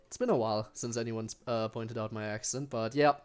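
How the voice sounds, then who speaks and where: A man's voice: lowish voice, fairly even intonation, fairly quick, darkish tone, smooth. A young man with a German accent, a native German speaker, casually chatting with his chat while streaming a game, with frequent ums and restarts.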